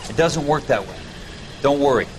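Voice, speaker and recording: A man's voice: medium pitch, animated, moderate pace, natural voice. An actor playing a seasoned, plainspoken Marine sergeant in a war scene from a game, reassuring and fatherly. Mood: steady, reassuring. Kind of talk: video game cutscene acting. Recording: battlefield noise behind.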